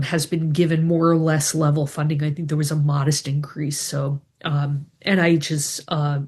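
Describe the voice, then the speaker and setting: A woman's voice: low-pitched, darkish tone, husky. Congested woman hosting a video call. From New England. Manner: chatty, many ums and restarts. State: congested from a cold. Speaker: grant writing advisor hosting a members' call. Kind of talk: casual video call briefing.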